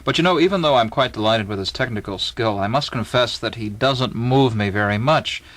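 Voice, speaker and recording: A man's voice: medium pitch, fairly quick, a bit bright, lightly acted. A man reading the part of a skeptical, grumbling army major, blunt and sardonic, in an informal dialogue reading.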